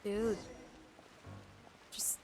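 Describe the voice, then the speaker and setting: A woman livestreamer chatting casually with viewers, halting and emotional in a gushing way. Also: mellow, soft background music. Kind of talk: casual live game stream chat, recapping to viewers.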